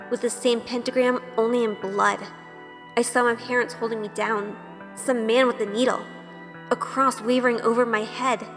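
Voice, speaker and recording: A woman's voice: on the high side, long reverb tail, natural voice. A woman playing a timid, sheltered young woman in an audio drama, hesitant and anxious. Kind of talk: audio drama acting and first-person narration. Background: music.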